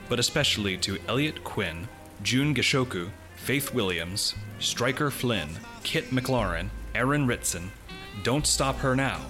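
A man reading scripted announcements as a podcast announcer, in a measured, formal manner. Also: mid-range voice. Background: music.

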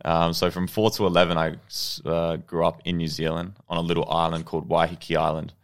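A young Australian man in his twenties, a guest telling his story in a relaxed, rambling way with lots of ums and restarts. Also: sits low, a little fast. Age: 20s. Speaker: podcast guest, goalkeeper. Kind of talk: casual interview storytelling.

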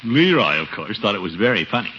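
An actor playing a pompous, needy middle-aged uncle in a theatrical 1950s radio comedy style. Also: male voice, on the low side, sing-song, quick pace, pushed and bright. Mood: indignant. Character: blustering, needy uncle eager to win back his nephew. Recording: dull, hissy 1950s radio recording.

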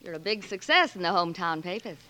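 An actress playing a brisk, sharp-tongued young newspaperwoman with snappy retorts in a 1940s radio drama. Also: lowish voice, sing-song. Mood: tart, guarded. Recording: noisy old 1940s radio recording.

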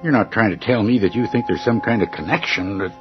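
Actor as a lowish, blunt bus depot boss. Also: male voice, fast, lightly acted. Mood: dismissive, insistent. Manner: blunt, insistent, brushing worries aside. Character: gruff, dismissive male bus depot boss. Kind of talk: old-time radio horror drama acting. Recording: dull old radio recording with music underneath.

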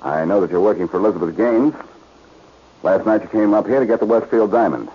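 An actor playing a shrewd, commanding amateur sleuth in 1940s radio style, with a husky voice. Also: male voice, lowish voice, a little fast, slight background noise, lightly acted. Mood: wary, commanding. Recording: muffled old radio recording.

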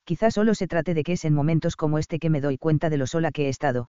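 A man narrating a first-person romance audiobook in a calm, even storytelling manner, in a slightly dull, dry recording. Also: on the high side, fast talker, clean tone, no echo.

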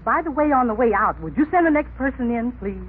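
An actress playing a proper, businesslike club interviewer in an old radio comedy, speaking formally. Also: female voice, expressive, quick pace, lightly acted. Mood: polite, businesslike. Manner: formal, businesslike, high-toned. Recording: scratchy old radio broadcast, narrow band.

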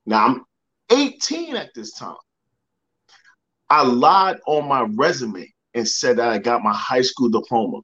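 A man's voice: mid-range voice, big pitch swings, measured pace, a bit bright, lo-fi sound. A young man, a motivational speaker, telling a personal story in a podcast interview. He repeats words and acts out the people he quotes.

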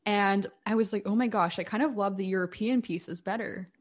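A woman's voice: mid-range voice, brisk. A woman hosting a podcast interview, chatting in an upbeat, enthusiastic way. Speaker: podcast host. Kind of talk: casual interview chat.

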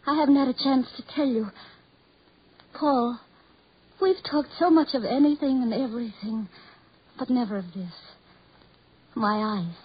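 A dull, vintage radio recording of a devoted, pleading woman. Her fairly high voice is very husky, and she speaks with earnest melodrama in forties radio style. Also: a little slow, long pauses. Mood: urgent, hopeful. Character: tender, devoted blind woman pleading for love. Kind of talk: 1940s radio horror drama acting. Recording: muffled old 1940s radio recording.

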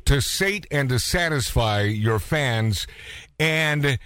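A man hosting a casual radio interview, joking and mock-stern in a bantering, halting way with repeated phrases. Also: medium pitch, animated, a bit bright, smooth, no room sound. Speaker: radio show host interviewing a guest.

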